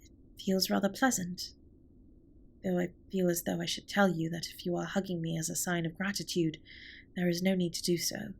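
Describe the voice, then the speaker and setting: A woman playing a formal, earnest, caring alien spaceship captain in a scripted comfort roleplay, speaking softly and breathily with measured, precise wording. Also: fairly low pitch, level tone, vocal fry, husky. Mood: tender, pleased. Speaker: audio roleplay performer. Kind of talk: scripted comfort roleplay, intimate one-sided dialogue.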